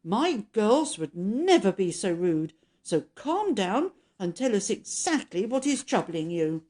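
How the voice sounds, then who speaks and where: Woman as old granny, storybook character, stern but kindly, firm delivery, expressive pitch, mellow tone. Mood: stern, cross. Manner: firm, deliberate, reassuring.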